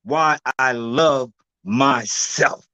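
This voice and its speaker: A man in his sixties, a guest herbalist, telling his story in a loud, emphatic, preacher-like way over a video call. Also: medium pitch, drawn-out words, clear, resonant tone, no echo.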